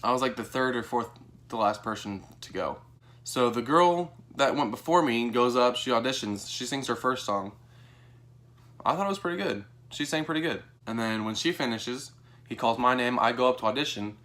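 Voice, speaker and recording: Young american dude, animated storytime vlog, mid-pitched voice, chatty and informal. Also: male voice, normal speed. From Texas, United States. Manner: animated, acts out quoted dialogue in a higher voice. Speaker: vlogger. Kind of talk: casual storytelling to camera.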